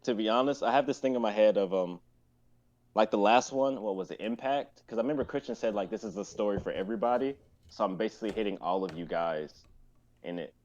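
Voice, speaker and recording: Dull-miked young man, lowish voice. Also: a little fast, darkish tone. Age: 20s. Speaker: writer and reader in a workshop stream. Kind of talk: casual chat. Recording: dull lo-fi mic, keyboard typing.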